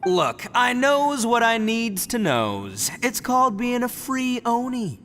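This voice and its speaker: An actor playing a boisterous, cocky, dim-witted young oni gang boss in a video game, brash, slangy and theatrical. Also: male voice, very high voice, sing-song, slow, exaggerated voice. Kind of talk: video game cartoon dialogue. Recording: very reverberant, with game music.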